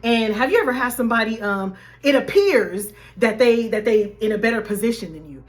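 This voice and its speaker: A woman life coach, slightly hoarse, talking animatedly to her viewers in a casual faith-based vlog. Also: a little high, a little fast. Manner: animated, addresses viewers as y'all.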